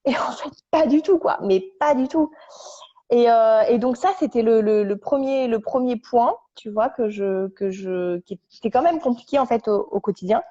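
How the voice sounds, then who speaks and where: A young woman, a podcast guest, casually telling her story at home on a couch, halting and restarting as she searches for her words. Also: fairly high pitch, dark tone. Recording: dull lo-fi recording.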